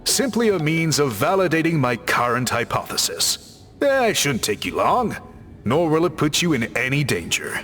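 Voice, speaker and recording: A man's voice: fairly high pitch, sing-song, moderate pace, fairly bright tone, soft background music. A man voicing a polite, composed scholar in a video game, with courteous, precise and formal phrasing. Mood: composed, explaining. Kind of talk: video game character acting.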